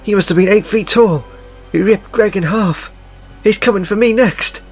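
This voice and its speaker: A man voicing a panicked skinny young man who pleads in a high, frantic voice. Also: moderate pace, husky, dull audio, soft background music.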